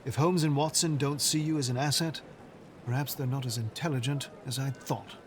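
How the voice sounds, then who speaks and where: A man playing an earnest, observant, well-spoken gentleman in an audio drama, polite and solicitous. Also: medium pitch, fairly even intonation, background noise, close to an everyday voice. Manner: polite, measured phrasing.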